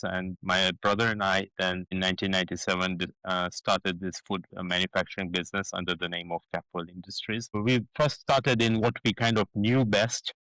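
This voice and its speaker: Low-voiced Kenyan man, halting. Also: pushed and bright, dry recording, rough recording. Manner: hesitant, many fillers and restarts. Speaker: guest, business founder. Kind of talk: casual interview talk.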